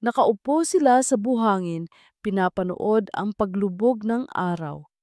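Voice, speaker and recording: A woman's voice: medium pitch, lively intonation, measured pace, a little mellow, dry recording, clean studio sound. A woman narrator reading a scripted romantic drama story.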